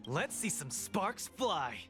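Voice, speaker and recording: A cocky young fighter from a video game, swinging widely in pitch and taking his time between words. He sounds brash, spirited and earnest, with music clearly playing behind him. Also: sing-song, slow pace, exaggerated voice. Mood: excited, taunting. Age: young adult. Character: cocky, spirited young male underworld boxer. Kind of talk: video game character acting.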